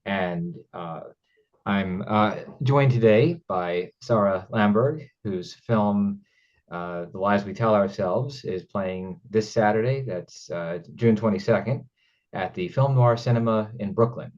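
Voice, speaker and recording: Male interview host, lowish pitch, halting conversational delivery, slightly muffled lo-fi audio. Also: darkish tone. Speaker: interview host, independent filmmaker.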